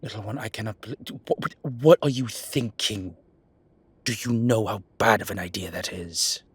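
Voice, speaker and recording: A man's voice: on the low side, normal speed, a bit bright, breathy edge, dry recording. A man playing an exasperated, protective male vampire in an ASMR roleplay drama, scolding and sardonic. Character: exasperated, protective male vampire, dry-witted and world-weary. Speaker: voice actor.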